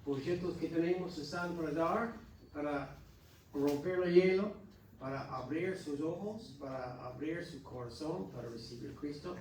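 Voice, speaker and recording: American pastor preaching in an echoey hall. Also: male voice, fairly high pitch, a little mellow, slight background noise. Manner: earnest, exhorting with halting restarts. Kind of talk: Sunday school teaching. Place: church hall. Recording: echoey church hall from far off.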